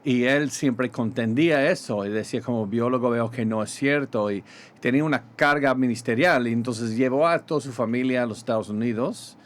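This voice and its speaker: Pastor with a half-gringo, half-Mexican accent. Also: male voice, medium pitch. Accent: American with Mexican Spanish touches. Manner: halting, many fillers and restarts. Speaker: pastor, ministry guest. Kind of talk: radio interview in Spanish.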